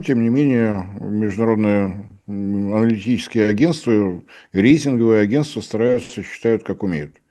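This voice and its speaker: Middle-aged male analyst, low mellow voice, dry ironic delivery. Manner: ironic, dryly mocking, acts out dialogues. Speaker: energy analyst guest. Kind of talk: sarcastic analytical commentary.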